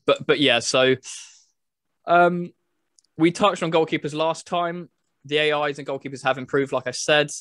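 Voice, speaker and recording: A man's voice: fairly high pitch, steady tone, normal speed, pauses often, fairly bright tone, no echo. Casual man with a dry, close sound. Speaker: podcast host reviewing a football video game. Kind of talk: casual review chat.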